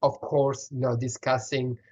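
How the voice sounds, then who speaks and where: A man's voice: medium pitch, little pitch movement, unhurried, a little mellow, breathy edge, dull audio. A man with a strong Italian accent, a pediatrician answering questions in an online session, explaining earnestly with many restarts and fillers. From Italy.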